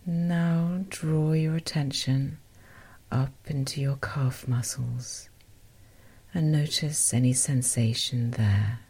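Very low, breathy woman guiding meditation. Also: steady tone. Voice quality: soft, breathy, often near whisper. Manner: gentle, unhurried, softly spoken with short phrases. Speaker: meditation guide and podcast host. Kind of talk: guided meditation, soothing instruction.

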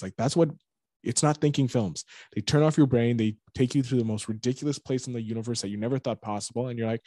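A man's voice: lowish voice, a little mellow, no echo. Chatty Canadian man, dry close sound. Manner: rambling, enthusiastic, jokey. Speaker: podcast guest. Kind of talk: casual podcast chat.

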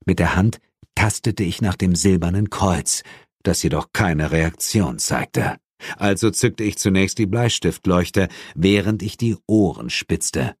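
A man with a low voice reads a horror audiobook as a first-person narrator. The delivery is dramatic and scripted, and the recording is very dry and close. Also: animated, measured pace, slightly rough, dry recording.

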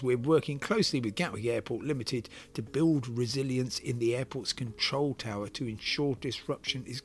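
Measured man explaining the news. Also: mid-range voice. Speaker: podcast host and travel commentator. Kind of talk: semi-scripted news commentary monologue.

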